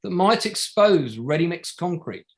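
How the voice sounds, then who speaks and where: An actor playing a probing, sardonic barrister at a public inquiry in a play reading, formal and pointed, pressing with rhetorical questions. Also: male voice, fairly high pitch, very animated, unhurried. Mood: formal, accusing. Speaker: actor in an online table read. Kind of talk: courtroom-style cross-examination in a play reading.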